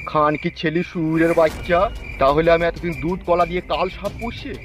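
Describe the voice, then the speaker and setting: A man's voice: on the high side, steady tone, brisk, close to an everyday voice. A man voicing a furious, menacing gang boss in a comedy cartoon, threatening and swearing aggressively. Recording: loud music behind the voice.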